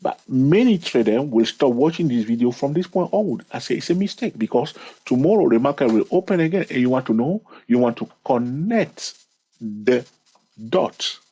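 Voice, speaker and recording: A man's voice: mid-range voice, lively intonation, moderate pace, dark tone, breathy edge, poor audio. A man hosting his channel, walking through a chart lesson, stretching key words and repeating counts. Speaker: trading educator and channel host. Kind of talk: screen-recorded chart lesson.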